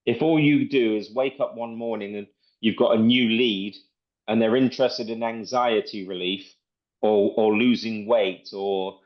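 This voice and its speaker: A man's voice: on the low side, steady tone, a little slow, highs cut off. A man giving a casual, rambling sales presentation, explaining things in a repetitive way. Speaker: presenter demonstrating software.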